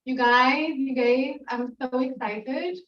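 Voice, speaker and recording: A woman's voice: high-pitched, fairly even intonation, slow. A woman from South Africa, a poet, chatting with an audience before her reading in an informal, giggly, emotional way. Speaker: poet reading at a literary event. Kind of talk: warm, chatty stage talk to an audience. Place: reading event room with audience. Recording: echoey room, far from mic, dull sound.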